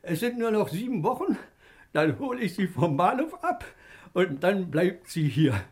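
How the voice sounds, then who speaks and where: A man acting a lonely, hopeful aging father with a creaky voice and eager, emotional delivery in a 1950s German radio drama. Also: high-pitched, sing-song, moderate pace. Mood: insistent hope.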